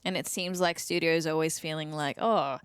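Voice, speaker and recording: A woman with a fairly low voice chats informally as a panelist. She explains her views in a conversational style, and the sound is close and dry. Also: steady tone, moderate pace, clean tone, no echo. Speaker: podcast panelist. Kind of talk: casual chat discussion.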